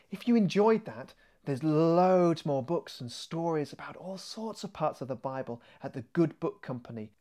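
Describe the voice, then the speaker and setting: A man narrates a children's audiobook in an expressive storytelling style. His voice sits at a middling pitch. Soft guitar music plays gently behind the scripted reading. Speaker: author reading his own book.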